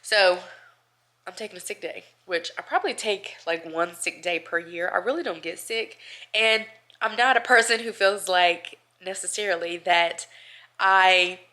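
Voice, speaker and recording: A woman talks to her camera at home, thick and nasal from a cold. Her voice sits a little low yet has a forceful, bright edge. She rambles chattily and laughs between thoughts. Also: unhurried. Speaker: vlogger. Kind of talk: casual chat to camera.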